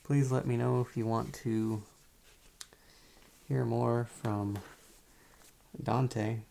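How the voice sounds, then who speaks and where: A man who makes ASMR videos, giving a soft-spoken, casual update to his viewers in a low-key, hesitant way. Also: level tone, very slow, gravelly.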